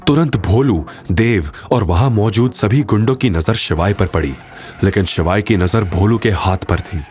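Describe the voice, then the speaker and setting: A man's voice: lowish voice, normal speed, darkish tone, faint music behind. A man giving dramatic scripted narration as the storyteller of an audio drama.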